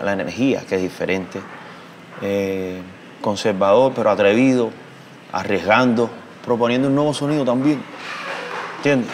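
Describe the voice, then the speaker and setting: A Cuban man in his thirties talks in a low, gravelly voice with a Cuban Spanish accent. He speaks thoughtfully, pausing with fillers and restarting phrases. A faint hum sits under the recording in a bright indoor room. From Cuba. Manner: reflective, hesitant with fillers and restarts, expressive hand gestures. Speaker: musician. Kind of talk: interview answers.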